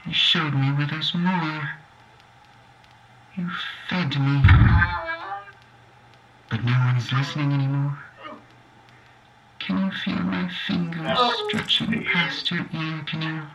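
An actor voicing a creeping entity that feeds on a man's thoughts, slow and gloating. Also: mid-range voice, distant mic, muffled recording. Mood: contemptuous.

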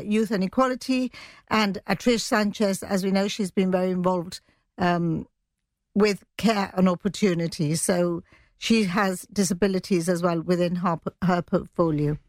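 A woman giving an unscripted news report as an editor, pausing to think as she goes. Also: mid-range voice, no room sound.

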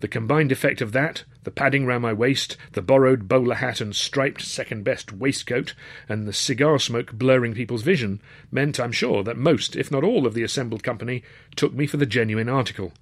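A man's voice: medium pitch, moderate pace, no echo. A man narrating a comic novel in the first person, with wry, literary phrasing. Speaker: author and narrator.

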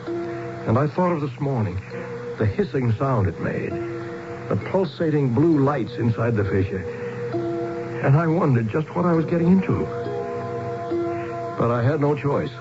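An anxious, plain-spoken everyman explorer in a 1970s radio play. His delivery is earnest and very animated in pitch. The sound is lo-fi and muffled, with loud music behind him. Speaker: radio drama actor. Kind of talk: 1970s radio drama acting, dialogue and first-person narration.

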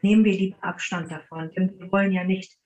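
A woman's voice: medium pitch, fairly even intonation, brisk, darkish tone. A woman answering interview questions informally as a paranormal investigator. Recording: dull, muffled band-limited sound.

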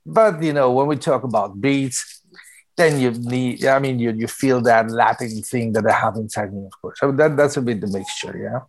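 Middle-aged guy raised in spain, english, lively chatty music producer, keeps repeating words. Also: medium pitch, normal speed, low-quality recording. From born in Belgium, raised in Spain. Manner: animated, laughing, repeats words. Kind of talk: casual interview chat.

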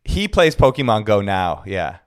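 A man hosting a podcast, a father who chats casually and asks animated, searching questions. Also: lowish voice, normal speed. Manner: animated, questioning, laughs easily. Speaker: podcast host.

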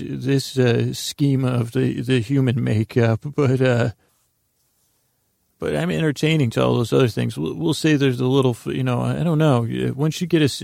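Gravelly rambling man, sleepy drawl. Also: mid-range voice, a little mellow, no echo, clean studio sound. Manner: meandering, halting, many restarts and drawn-out pauses. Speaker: sleep podcast host. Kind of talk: rambling bedtime monologue.

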